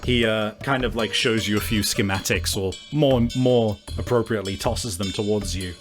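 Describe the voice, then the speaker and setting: Low-voiced man narrating as game master. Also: soft background music. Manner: halting, casual, profane. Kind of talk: improvised tabletop game narration.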